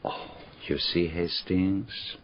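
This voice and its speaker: An actor playing a courteous, probing foreign detective in a radio drama, with formal foreign phrasing and deliberate questions. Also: male voice, deep voice, steady tone, a little hiss or hum. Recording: dull, muffled radio drama recording.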